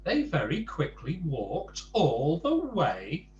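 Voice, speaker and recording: Animated, unhurried man reading a bedtime story. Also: fairly high pitch, echoey room, dull audio, soft background music. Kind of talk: children's storytelling with chatty asides.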